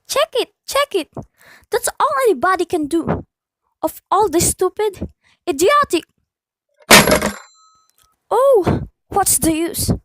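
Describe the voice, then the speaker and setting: Woman acting shrill, querulous invalid wife. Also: sing-song, slow, long pauses, full character voice. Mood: exasperated, indignant. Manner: querulous, imperious, melodramatic. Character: nervous, imperious bedridden invalid wife, demanding and panicky. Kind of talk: radio play acting.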